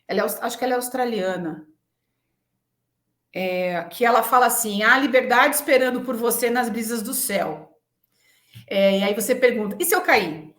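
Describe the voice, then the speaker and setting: Roomy echo, Brazilian woman in her forties, high-pitched voice, frank and self-assured, conversational interview answers. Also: normal speed, fairly bright tone. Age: 40s. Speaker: interview guest, business executive. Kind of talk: conversational interview, personal reflection. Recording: roomy, echoing sound.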